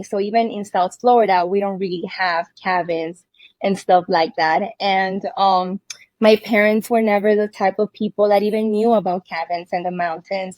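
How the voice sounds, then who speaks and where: A woman's voice: mid-range voice, a little mellow, dry recording. Woman from cuba chatting as a guest, laughs a lot, mid voice, dry close sound. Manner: chatty, laughs often, restarts sentences. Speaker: guest, cabin rental owner. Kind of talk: casual conversational interview. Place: inside a cabin under renovation.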